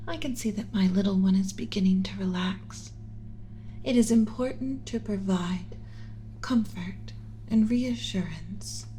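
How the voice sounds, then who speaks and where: A woman plays a tender alien mother tending her human pet in an ASMR roleplay. Her voice is soft, dark and relaxed, often slipping into a breathy whisper. She speaks deliberately, clinical yet comforting, close to the mic with a faint bed of background noise. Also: mid-range voice, close to an everyday voice, poor audio. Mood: tender, soothing.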